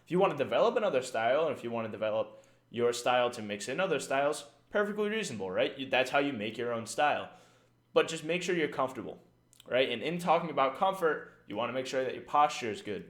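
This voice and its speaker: A man's voice: mid-range voice, sing-song, fast, a bit bright. A man who casts esports matches, talking casually on a livestream and rambling with lots of fillers. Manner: rambling, frequent fillers and "right?". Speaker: esports caster giving tips.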